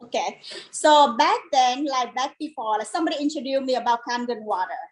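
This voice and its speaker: A woman's voice: high voice, expressive, fairly quick, poor audio. A middle-aged woman from Vietnam with a Vietnamese accent, chatting informally as an interview guest in non-native English. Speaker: guest, home business owner. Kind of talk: casual interview chat.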